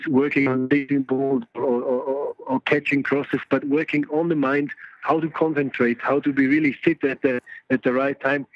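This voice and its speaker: A man's voice: mid-range voice, dry recording. Man on a dull, dry phone line. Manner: hesitant, many fillers and restarts. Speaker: phone-in guest, goalkeeping coach educator. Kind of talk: casual phone interview.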